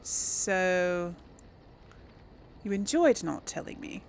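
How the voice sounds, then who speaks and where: A woman's voice: lowish voice, expressive, very slow, a little hiss or hum. A woman hosting a let's play, giving chatty, informal gameplay commentary and casually role-playing her replies.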